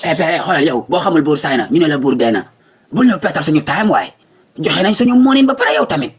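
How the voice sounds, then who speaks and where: Casting: male actor as cheeky young Senegalese Rasta friend for a cartoon, high husky voice, pushy, rapid. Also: big pitch swings, exaggerated voice. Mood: impatient, cheeky. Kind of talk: comic cartoon dubbing.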